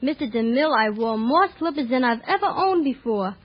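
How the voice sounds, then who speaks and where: High-voiced teenage girl, 1930s radio guest. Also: animated, fairly quick, slightly rough. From New York City. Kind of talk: scripted 1930s radio interview. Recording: muffled old radio broadcast.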